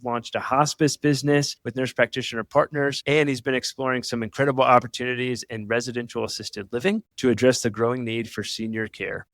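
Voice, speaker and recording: Man, medium pitch, podcast host, casual and enthusiastic, effusive, dry recording. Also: no room sound. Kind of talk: enthusiastic interview chat.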